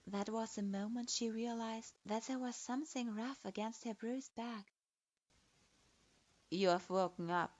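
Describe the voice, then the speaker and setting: A woman narrates a dark story in a steady, even, scripted way, with a voice of ordinary pitch for a woman. The recording is dull and lo-fi, and it sounds completely dry, with no room around the voice. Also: dry recording.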